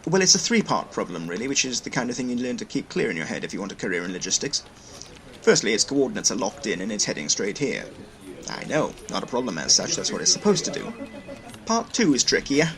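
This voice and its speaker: An actor playing a brisk, wordy station logistics manager in a sci-fi audio drama, explaining things with dry wit. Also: male voice, fairly low pitch, big pitch swings, fairly bright tone. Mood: dry, matter-of-fact. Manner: chatty, wry, long-winded explanations.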